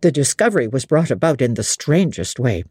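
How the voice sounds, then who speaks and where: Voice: medium pitch, very animated, brisk, a little mellow, husky, no echo, studio quality. A reader voicing an older male country innkeeper who tells gossip in a hesitant, confiding rustic way, in an audiobook. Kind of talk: audiobook character reading.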